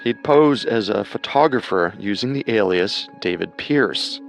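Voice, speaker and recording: A man narrates true crime as a co-host, reading from a script with dramatic phrasing. His voice sits low, and music plays clearly underneath. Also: animated, a little slow.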